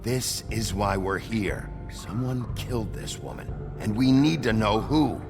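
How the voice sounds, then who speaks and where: An actor playing a gruff, brooding sheriff in a video game, terse, stern and blunt, with a gravelly voice. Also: male voice, lowish voice, lively intonation, unhurried. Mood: pressing, stern. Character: gruff, brooding sheriff and interrogator of fairy-tale folk. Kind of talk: video game dramatic acting. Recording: loud music behind the voice.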